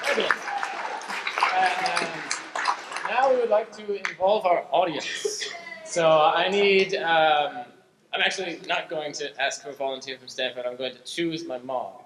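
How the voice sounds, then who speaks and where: A man hosting an improv show, casually and haltingly addressing the audience. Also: fairly high pitch. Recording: echoey, very noisy stage with crowd.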